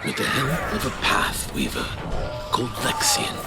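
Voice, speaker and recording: A man playing a grave old archivist and mentor in an audio drama, telling history in a measured, formal, portentous way. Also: medium pitch, wildly swooping pitch. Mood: storytelling. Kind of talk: audio drama acting, storytelling narration. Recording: very loud music behind voice.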